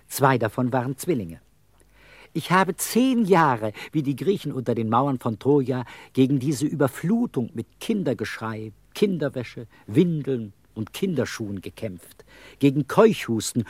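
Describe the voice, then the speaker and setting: Casting: eccentric, talkative middle-aged beggar with bourgeois airs, very lively pitch, dry close sound, radio drama. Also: male voice, no echo. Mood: bitterly amused. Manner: theatrical, rambling storyteller, wry. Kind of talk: radio play acting.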